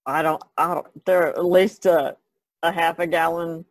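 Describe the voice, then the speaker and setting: A woman with a gravelly, creaky voice chats casually as a podcast co-host. She rambles in an easygoing way, recorded dry with little room sound. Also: lowish voice, fairly even intonation, measured pace, no echo. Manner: rambling, easygoing, giggles often. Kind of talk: casual chat.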